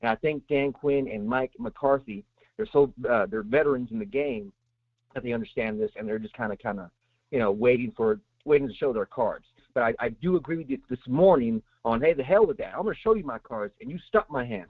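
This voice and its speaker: A man's voice: medium pitch, animated, brisk, dry recording. Rambling male caller on a phone line. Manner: rambling, many fillers and restarts. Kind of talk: call-in sports opinion.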